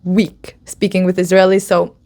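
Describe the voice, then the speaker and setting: A woman's voice: on the low side, flat delivery, normal speed, a little mellow. A woman giving a casual video lesson on slang, chatty and upbeat, laughing often and dropping in foreign phrases. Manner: drops in Hebrew phrases. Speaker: Hebrew slang teacher.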